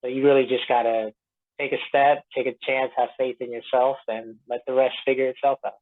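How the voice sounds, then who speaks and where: A man's voice: mid-range voice, flat delivery, fairly quick. A man from Florida, an interview guest, giving casual conversational answers over a narrow phone-like connection. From Tampa Bay, Florida, United States; born in Puerto Rico. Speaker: actor being interviewed. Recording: tinny narrow-band phone line.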